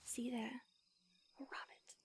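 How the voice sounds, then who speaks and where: A woman voicing an ancient female vampire who mentors her fledgling, speaking in a hushed, breathy whisper with hesitant restarts. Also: slow, close to an everyday voice. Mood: hushed, instructive. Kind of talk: audio roleplay.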